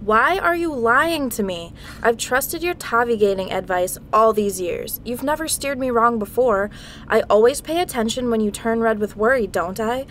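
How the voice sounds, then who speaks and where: An irritable heroine adventurer, acted by a woman in a comedic game parody audio drama. She complains sarcastically in a fairly high voice, exasperated and skeptical, with some noise in the recording. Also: normal speed, fairly bright tone, close to an everyday voice.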